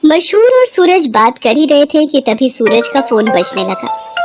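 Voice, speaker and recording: A woman narrating a scripted moral story in an expressive storytelling manner. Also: high-pitched, a little slow, music in the background.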